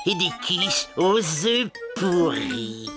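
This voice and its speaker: An actor playing a sly, greedy goblin in a children's cartoon, gloating and whining theatrically. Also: male voice, high voice, big pitch swings, very slow, heavily acted. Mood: greedy delight. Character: sly, greedy male goblin who loves rotten food. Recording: loud music behind.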